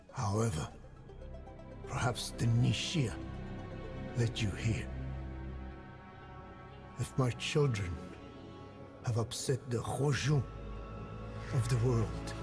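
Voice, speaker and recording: A whispery, grave old scientist elder. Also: male voice, mid-range voice. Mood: solemn regret. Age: older. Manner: slow, grave, deliberate storytelling. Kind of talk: dramatic video game cutscene acting. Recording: loud music over the voice.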